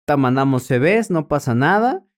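A man's voice: on the high side, very animated, normal speed. Playful Mexican man with a raised voice. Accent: Mexican Spanish. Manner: playful, swears, exaggerated outbursts. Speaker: podcast co-host. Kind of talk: casual comedic political chat.

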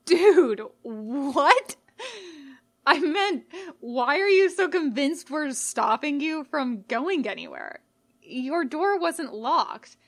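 A woman voicing a gentle, casual male skeleton monster in a story, earnest and puzzled. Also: high voice, sing-song, slow pace, voice pushed up, heavily acted. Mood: baffled.

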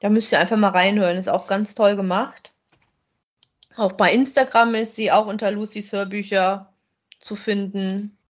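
A woman speaks as co-host of a podcast. Her pitch is average for a woman, and her style is casual and conversational. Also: fairly even intonation, moderate pace.

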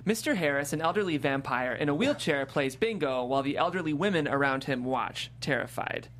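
A woman's voice: low voice, lively intonation, fairly quick, slight background noise. A woman hosting a show and reading scripted stage directions with enthusiasm. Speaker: host and stage-direction reader.

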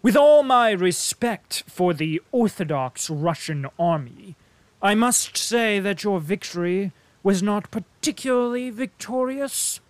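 A man's voice: high-pitched, lively intonation, slow, no echo, pitched above the performer's own voice. A man reading the part of a sardonic, witty diplomat, speaking with ironic, teasing emphasis. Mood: mocking. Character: sardonic witty male diplomat, mocking and urbane. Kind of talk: audiobook character reading.

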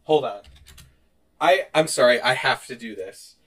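A man co-hosting a podcast in casual chat, animated and quick to laugh. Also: medium pitch. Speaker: podcast co-host. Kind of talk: casual chat, reading out online reviews.

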